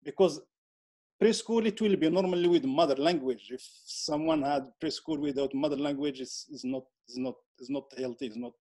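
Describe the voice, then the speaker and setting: Higher-pitched man with Moroccan Berber accent. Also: a little mellow. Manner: halting non-native phrasing with repetitions. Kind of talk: informal explanatory interview talk.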